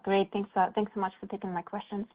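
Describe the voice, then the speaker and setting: Female analyst, polite halting questioner, narrow phone-line sound. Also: medium pitch, level tone. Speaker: financial analyst asking questions. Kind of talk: business Q&A on a call. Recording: thin muffled telephone line.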